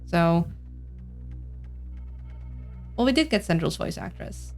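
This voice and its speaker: Woman, Dutch accent, slightly low pitch, casual game streamer, rambling thinking aloud, music behind. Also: big pitch swings, takes it slowly, a little mellow. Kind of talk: casual gameplay commentary. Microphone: streaming mic with voice filters.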